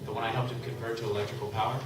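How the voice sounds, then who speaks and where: A man's voice: mid-range voice, little pitch movement, very fast, natural voice. A man playing a fiery, hot-tempered tinker. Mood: urgent. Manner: bursts into loud angry shouts. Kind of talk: live radio play acting. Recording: echoey hall from far off, background noise.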